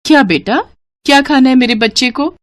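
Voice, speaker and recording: A woman voicing an affectionate young village mother in a cartoon story, cooing and expressive. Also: high-pitched, moderate pace, no room sound, voice pushed up, lightly acted. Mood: doting.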